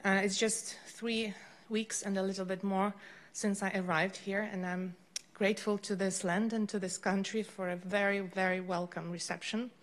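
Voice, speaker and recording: A woman's voice: medium pitch, flat delivery, normal speed. A woman from western Ukraine with a Ukrainian accent, asking a long formal question carefully and hesitantly. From western Ukraine, Lviv. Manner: careful, hesitant, with many fillers. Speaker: audience member asking a question.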